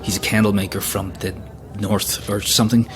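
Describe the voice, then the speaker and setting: A man's voice: fairly low pitch, little pitch movement, moderate pace, music in the background, lightly acted. A man playing a nervy, scheming coach passenger in a naturalistic audio drama, conspiratorial and grumbling.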